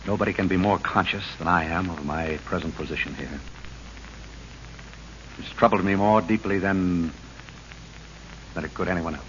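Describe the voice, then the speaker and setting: An American man acting a principled, troubled governor in an old radio drama, formal and measured in his delivery. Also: sits low, fairly bright tone. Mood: solemn. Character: principled, troubled state governor, rigid about duty. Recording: muffled, noisy vintage radio recording.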